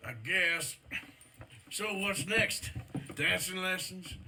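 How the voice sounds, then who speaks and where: An actor playing a wry outlaw gunslinger in a video game, speaking in a terse, dry, sardonic way. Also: male voice, sits high, drawn-out words, creaky, background noise, full character voice. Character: gruff male outlaw gunslinger, wry and loyal. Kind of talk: video game cutscene acting.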